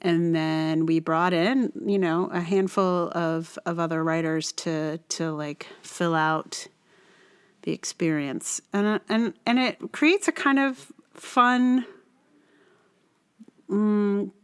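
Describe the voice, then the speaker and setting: A woman's voice: fairly low pitch, fairly even intonation, slow, clean tone. Casting: female podcast host, casual and rambling, reflective, hesitant, trailing off mid-thought. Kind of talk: casual rambling introduction to a song.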